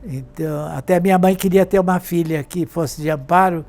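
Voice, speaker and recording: High-voiced elderly man reminiscing, rambling. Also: moderate pace, a little mellow, faint music behind. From Brazil. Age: 80s. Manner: rambling, with many restarts and tag questions. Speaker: interviewed artist and fashion designer. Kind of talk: reminiscing in an interview.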